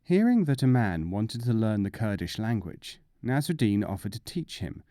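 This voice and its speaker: A man's voice: fairly low pitch, very animated, moderate pace, mellow, no echo, studio quality. A man reading as an audiobook narrator, in scripted storybook narration.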